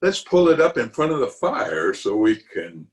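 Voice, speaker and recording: A man's voice: mid-range voice, sing-song, normal speed, lightly acted. A man playing a pompous, flirtatious middle-aged town official in 1940s radio comedy style, blustering and wheedling theatrically. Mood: coaxing, romantic. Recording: roomy, echoing home video-call recording.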